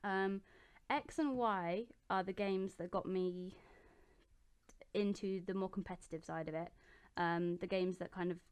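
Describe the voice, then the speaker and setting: Smooth-voiced young woman, chatty and relaxed. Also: medium pitch, a little slow. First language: English. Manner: chatty and relaxed, with many ums and self-corrections. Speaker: YouTuber answering viewer questions. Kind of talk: casual chat. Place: her bedroom.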